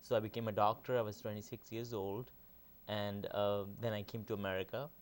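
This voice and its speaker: Middle-aged guy, low smooth voice, slow with lots of uh pauses. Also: little pitch movement. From Pakistan. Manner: measured, many "uh" pauses. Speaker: film director, guest. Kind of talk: interview answers.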